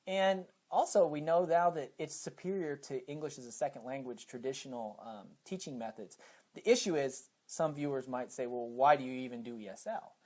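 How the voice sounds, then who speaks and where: A man's voice: mid-range voice, moderate pace, a little mellow. American man explaining as an interview guest. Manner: explains step by step with examples. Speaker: high school teacher and program coordinator, interview guest.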